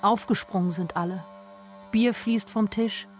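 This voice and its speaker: A woman's voice: medium pitch, steady tone, a little slow, soft background music, lightly acted. An actress narrating a radio drama as a watchful young woman, matter-of-fact and observant. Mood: tense, detached. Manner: matter-of-fact, observant, short plain sentences.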